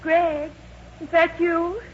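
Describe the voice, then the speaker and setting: A woman's voice: extremely high pitch, little pitch movement, slow. Coy young rich girl, old radio sound. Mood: coy, insistent. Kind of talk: 1940s radio acting. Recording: dull old radio recording with music.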